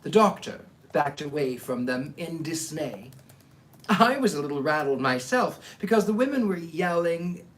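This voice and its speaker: A man hosting a livestream as a storyteller, moving between dramatic live reading and casual asides to listeners. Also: high-pitched.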